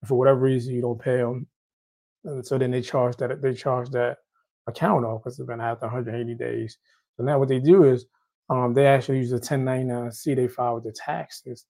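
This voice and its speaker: A man with a Haitian accent talks through listener questions in an easygoing, rambling way. His voice is gravelly and dark, sitting at a middle pitch, and he often circles back to repeat a phrase. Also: flat delivery, brisk. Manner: loose, repeats and restarts phrases. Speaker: host. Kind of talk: casual credit advice Q and A.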